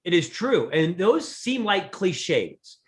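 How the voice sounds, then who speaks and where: High-voiced middle-aged man, earnest storyteller. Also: big pitch swings, slow. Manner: earnest, self-deprecating storyteller. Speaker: podcast guest, leadership expert. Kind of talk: conversational interview.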